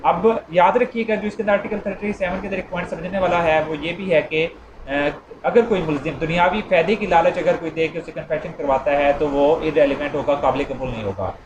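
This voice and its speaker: A Pakistani man with a somewhat high voice teaches in an informal, explanatory way, often rewording what he has just said. The recording is a bit dull, with clear room echo around him. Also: a little fast, background noise. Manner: didactic, repeats and rephrases points, mixes in English legal terms. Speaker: law lecturer. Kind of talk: informal explanatory lecture with illustrations.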